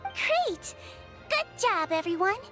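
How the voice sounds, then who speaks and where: A woman voicing a small, gentle head nurse in a video game, girlish and sweet, polite and composed. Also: extremely high pitch, very animated, slow pace, over music, exaggerated voice. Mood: pleased. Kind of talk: animated video game voice acting.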